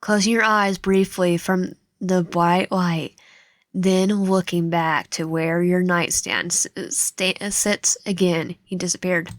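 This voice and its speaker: A woman's voice: on the low side, fairly even intonation, slow, dry recording. A woman reading a visual novel aloud with casual asides, stumbling over words now and then. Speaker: video game streamer. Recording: music behind the voice. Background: music.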